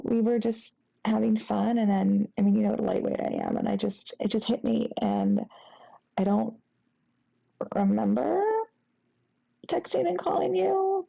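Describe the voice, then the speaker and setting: A young woman playing a sheepish, apologetic ex-girlfriend in an intimate audio roleplay, talking haltingly and rambling with embarrassment in a soft voice. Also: medium pitch, vocal fry, lo-fi sound. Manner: halting, rambling, embarrassed, breaking into nervous giggles. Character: sheepish, flustered, apologetic young ex-girlfriend after a drunken night. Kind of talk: intimate acted phone-call roleplay.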